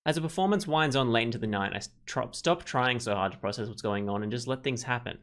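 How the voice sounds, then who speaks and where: A man's voice: mid-range voice, fast, a bit bright. A man reading a visual novel aloud as a let's player, mixing narration with casual, rambling commentary. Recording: music behind the voice. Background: game music.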